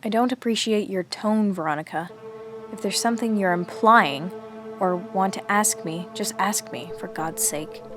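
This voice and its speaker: A woman's voice: medium pitch, moderate pace, a bit bright, lightly acted. Actress as an earnest, guarded teenage girl over music. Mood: indignant. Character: Betty, guarded teenage girl survivor writing her diary. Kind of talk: radio drama acting with diary narration. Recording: music under the dialogue.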